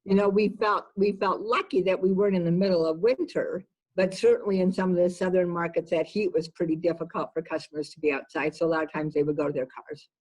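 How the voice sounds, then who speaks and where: A woman's voice: fairly low pitch, fairly even intonation, fast talker, darkish tone, husky, low-quality recording. A woman executive giving conversational interview answers, emphatic and repeating words for stress. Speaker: business executive being interviewed.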